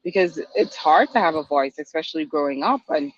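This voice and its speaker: A woman from Guyana, a guest attorney answering interview questions in a hesitant, halting way with many ums. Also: low-pitched, animated. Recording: dry, dull lo-fi audio.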